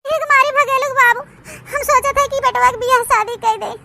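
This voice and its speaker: A woman's voice: very high voice, fairly even intonation, moderate pace, creaky, sharp tone, heavily acted. A woman voicing a pleading, anxious old village mother with an Awadhi accent in a cartoon comedy, in a plaintive, emotional manner. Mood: tearful pleading.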